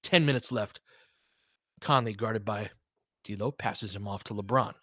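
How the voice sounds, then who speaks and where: A man's voice: lowish voice, measured pace, a bit bright, dry recording, clean studio sound. A man giving casual, conversational basketball play-by-play and analysis, with short punchy calls and slangy language.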